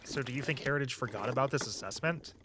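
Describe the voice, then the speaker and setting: Guy playing laid-back young creative friend in an audio drama, casual chatty, gently earnest, mid voice. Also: mid-range voice, fast, background noise, lightly acted. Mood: upbeat, supportive. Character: easygoing, loyal young creative tour guide friend. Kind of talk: naturalistic audio drama dialogue.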